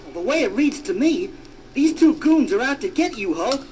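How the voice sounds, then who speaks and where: An actor playing a brave, streetwise young man with excitable, slangy speech in an audio drama. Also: extremely high pitch, moderate pace. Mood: urgent, persuasive. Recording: faint noise behind.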